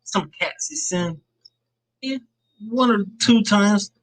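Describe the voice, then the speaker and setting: A man's voice: high-pitched, slow, low-quality recording. A man hosting a casual comic book live stream panel, laid-back and peppering his talk with "man.".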